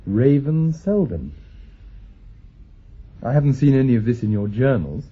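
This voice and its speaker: A British actor playing an earnest, anxious young mathematician who is quick to indignation, in a radio drama. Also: male voice, medium pitch, very animated, highs cut off. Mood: uneasy curiosity. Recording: roomy, echoing radio drama recording.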